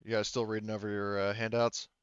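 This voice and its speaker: A man talking casually as game master to his players in a halting, hedging way. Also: fairly low pitch, level tone, smooth, dry recording, highs cut off. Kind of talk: casual game-running talk among players. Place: online voice session.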